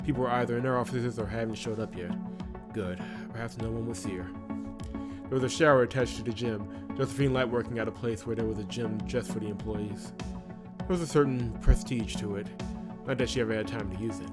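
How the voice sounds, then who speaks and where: A man hosting a visual novel playthrough, reading the narration aloud and reacting casually. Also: medium pitch. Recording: loud game music behind voice. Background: game music.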